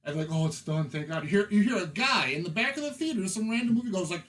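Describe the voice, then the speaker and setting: A man co-hosting a podcast, telling anecdotes in a casual, profane and animated way. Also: high-pitched, fast.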